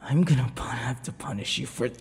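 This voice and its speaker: A man voicing an obsessive, menacing, centuries-old vampire lover in an ASMR roleplay, breathy and dramatic, often dropping into whispers. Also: mid-range voice, a little mellow, close to an everyday voice. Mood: pained, pleading. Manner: slow, dramatic, swinging between tender and unhinged. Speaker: audio roleplay performer. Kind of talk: ASMR boyfriend roleplay acting. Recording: echoey long reverb, faint noise.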